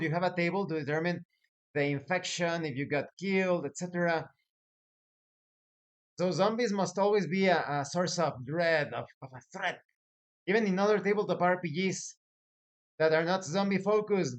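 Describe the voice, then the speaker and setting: A man hosting a tabletop gaming advice video as a game master, speaking in a deliberate, emphatic way with dramatic lists. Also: high-pitched. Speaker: host, professional game master.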